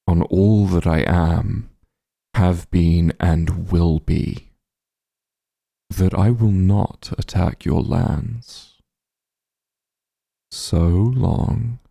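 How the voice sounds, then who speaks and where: A man playing a powerful, calm and smug demon lord in a fantasy ASMR roleplay, unhurried and condescending, with a gravelly, husky low voice. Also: no echo, studio quality, full character voice. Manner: unhurried, condescending, softly commanding.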